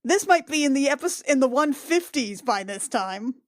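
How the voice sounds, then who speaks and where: A woman's voice: high voice, expressive, moderate pace. A woman playing a tabletop roleplaying game, chatting casually with the group.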